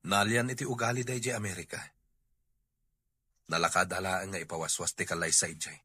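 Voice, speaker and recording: Guy acting frustrated filipino husband, husky but bright, family drama. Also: deep voice, fairly even intonation, a little fast, no echo, full character voice. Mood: dejected.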